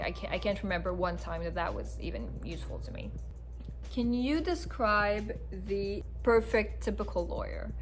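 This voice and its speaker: A woman in her twenties, a native French speaker, answering viewers' questions in a casual to-camera chat, earnest with frequent ums and restarts. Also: mid-range voice. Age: 20s.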